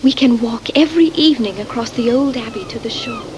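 An actress playing a lively young Victorian woman in love, eager and confiding in a 1970s radio drama. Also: high-pitched, fairly quick, lo-fi sound, background noise.

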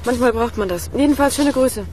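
An actress playing a polite, preoccupied woman in a radio crime drama, hurried and evasive. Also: a little high, darkish tone, a little hiss or hum, lightly acted. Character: polite, preoccupied woman putting off her neighbour.

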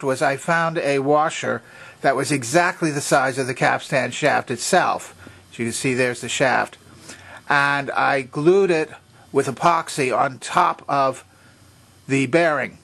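A man's voice: mid-range voice, creaky, a bit bright. A man presenting a hands-on repair demonstration, explaining methodically and pointing things out step by step.

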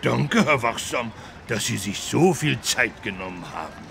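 A man voicing a big, good-natured, childlike talking elephant with a gravelly voice in a children's game. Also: medium pitch, animated, unhurried, a bit bright, slight background noise. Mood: jolly, friendly. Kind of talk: children's educational game voice acting.

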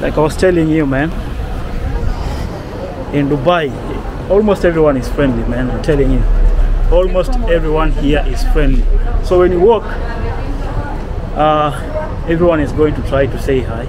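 A man's voice: a little high, moderate pace, pauses often, a crowd in the background. Chatty Kenyan man vlogging outdoors. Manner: chatty, repeats "man" and "I'm telling you". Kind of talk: casual travel vlog narration. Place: outdoors in a busy city market. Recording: noisy outdoor street recording.

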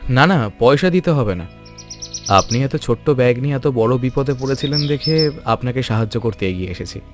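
A man's voice: mid-range voice, expressive, fairly quick, lightly acted. Man acting an earnest, resolute cartoon scholar, music behind. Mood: polite, calm. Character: principled, kindly Bengali scholar and social reformer, adult man.

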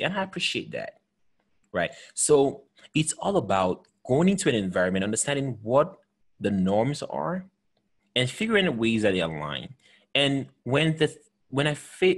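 A man in his thirties from Cameroon, hosting a video call chat with an earnest, emphatic manner and frequent restarts. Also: medium pitch. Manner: earnest, emphatic, many restarts and repeated words. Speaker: host. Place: home room with bookshelves. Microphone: headset with desk microphone.

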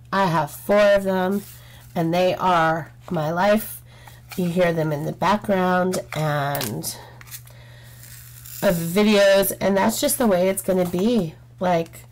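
A woman chatting casually while she works on a craft, frank and rambling with lots of "um"s, her throat getting sore. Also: lowish voice. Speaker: craft channel host. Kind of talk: casual chat while diamond painting. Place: home craft table, indoors.

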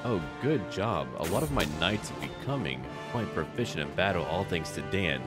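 A man's voice: sits low, expressive, normal speed, loud music behind the voice. A man giving let's play commentary on a strategy game, talking to himself and addressing his characters as their ruler. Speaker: video game player and narrator.

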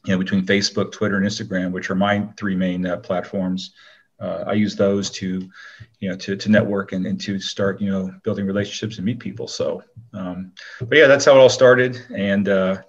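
A man's voice: low-pitched, little pitch movement, normal speed, dull audio. A middle-aged man talking casually and modestly as an interview guest, in a conversational way.

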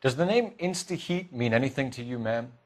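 A man's voice: medium pitch, animated, brisk, natural voice. A man acting as a composed, firm 1940s police detective in a video game, with a formal, clipped way of questioning. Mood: polite, businesslike.

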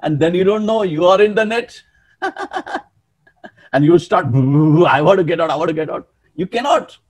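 A man in his sixties preaching a sermon in an emphatic, animated way, often calling out to his listeners, over a webcam in a small room. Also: high-pitched, normal speed, husky. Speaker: pastor.

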